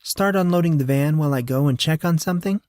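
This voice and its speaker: Man voicing a playful teenage girl, pitched up. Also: quick pace, a little mellow, no room sound, studio quality, close to an everyday voice. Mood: teasing. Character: teasing, confident teenage girl, friend of the hero.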